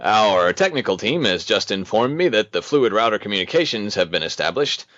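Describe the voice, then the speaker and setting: A man's voice: lowish voice, big pitch swings, a little fast, fairly bright tone, no echo. A man playing a polished news anchor presenting a futuristic broadcast in an audio drama. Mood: composed. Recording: dull, dry lo-fi studio sound.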